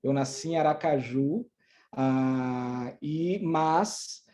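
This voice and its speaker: A man's voice: medium pitch, warm tone. A man with a Bahian accent, a judge, gives courteous closing remarks and chats over a video call, often restarting his sentences. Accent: Bahian Portuguese. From Brazil, born in Sergipe, raised in Salvador. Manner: courteous, speaks in halting restarts. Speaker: judge giving a closing lecture. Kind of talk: formal closing remarks and conversation.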